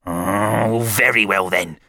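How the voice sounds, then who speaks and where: A man's voice: on the low side, expressive, a little slow, sharp tone, no room sound, clean studio sound, pitched above the performer's own voice, exaggerated voice. A man voicing a rude, curt middle-aged father in an audiobook, brusque and dismissive. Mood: grudging.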